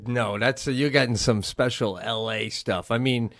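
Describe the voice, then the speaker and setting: A man's voice: medium pitch, unhurried, a bit bright. Emphatic man in casual podcast chat. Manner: emphatic, addresses listener as bro. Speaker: podcast co-host.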